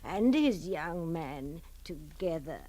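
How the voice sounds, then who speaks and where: Woman playing slow, spooky storytelling granny. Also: sits low, lively intonation. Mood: suspenseful. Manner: suspenseful, hushed whispers swelling to emphasis. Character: old granny telling ghost stories to children by fire.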